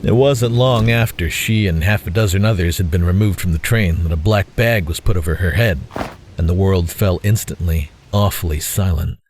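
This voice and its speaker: A man's voice: low-pitched, normal speed, darkish tone, slight background noise. A man reading scripted dramatic narration as the narrator of an audio story.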